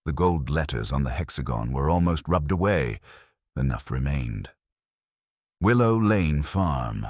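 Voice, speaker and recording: Very deep man narrating a mystery. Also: animated, a little mellow, no echo. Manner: measured, dry, literary delivery. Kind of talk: scripted audiobook narration of a mystery story.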